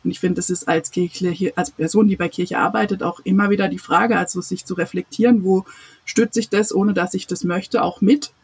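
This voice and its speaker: Podcast guest, breathy, earnest, laughs often. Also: medium pitch, fairly even intonation, a little fast. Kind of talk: casual podcast conversation.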